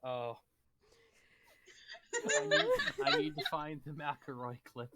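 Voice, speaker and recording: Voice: mid-range voice, fairly even intonation, takes it slowly, very noisy. A person chatting casually while taking part in a tabletop roleplaying game.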